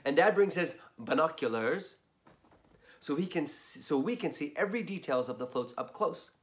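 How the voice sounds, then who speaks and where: A hoarse man reading a children's story aloud, chatting in asides to his listeners. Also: fairly high pitch, animated, normal speed.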